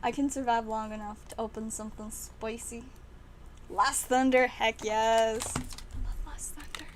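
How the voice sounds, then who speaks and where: Woman, raspy voice, excitable livestream chatter, slightly high, faint background hiss. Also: a little slow. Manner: chatty and excitable, with frequent laughs. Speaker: card-opening livestream host. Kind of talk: casual livestream chat while opening packs.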